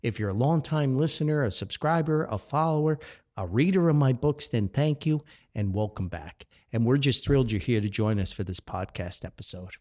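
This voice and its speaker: A man's voice: medium pitch, animated, normal speed, darkish tone. A man hosting a solo podcast in a folksy, rambling, jovial way, full of exclamations. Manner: jovial, chuckles often. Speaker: podcast host. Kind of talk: chatty solo podcast with sponsor reads.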